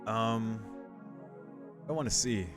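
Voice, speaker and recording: A man's voice: fairly low pitch, slow, over music. A man giving casual, laid-back commentary as he plays through a game, murmuring short reactions. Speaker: video game streamer.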